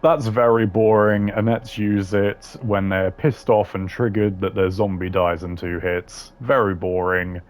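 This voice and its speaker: A man's voice: lowish voice, fairly even intonation, unhurried, few pauses. A man giving casual, slangy tier-list commentary in a blunt, opinionated way. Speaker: commentator ranking game voice lines.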